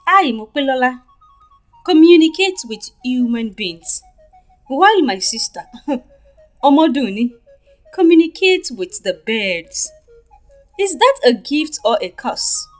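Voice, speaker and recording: A woman's voice: high voice, big pitch swings, slow, long pauses, soft background music, full character voice. A woman voicing a proud, boastful young woman in a haughty storybook style, mocking her sister. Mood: mocking pride. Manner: haughty, laughing.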